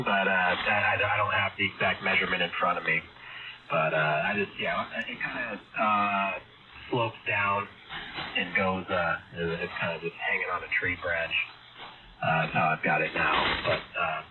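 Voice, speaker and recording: A man chatting casually and haltingly as an amateur radio operator over a shortwave link. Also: deep voice. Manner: hesitant, halting. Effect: heard over shortwave ham radio. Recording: muffled shortwave radio link, loud static.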